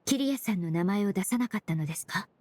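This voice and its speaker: A woman voicing a composed, courteous young forensic cleaner in an anime game dub, speaking formally and analytically in measured phrases. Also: medium pitch, expressive, a little mellow, a little hiss or hum, lightly acted. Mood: probing. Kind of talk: Japanese anime game dubbing.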